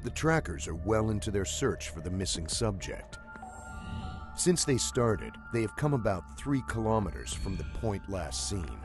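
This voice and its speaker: A man with a very low voice delivers scripted documentary narration. His reading is measured and story-like, with lively movement in pitch. Clear music plays behind him.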